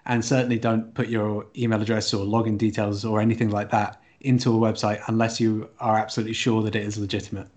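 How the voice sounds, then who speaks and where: A man co-hosting a podcast, explaining things in a careful, conversational and measured way. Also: lowish voice, level tone, a little mellow. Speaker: podcast co-host.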